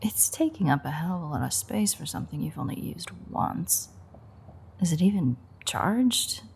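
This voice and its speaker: Actress as female vampire mechanic for audio roleplay: lowish, gravelly, dry, playful and unrepentantly predatory. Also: big pitch swings, moderate pace, a little mellow, slight background noise, heavily acted. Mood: dry teasing. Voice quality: husky, gravelly, breathy. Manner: dry, teasing, sarcastic. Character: teasing, sardonic female vampire mechanic, unrepentant man-eater. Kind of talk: audio roleplay acting, intimate one-sided dialogue.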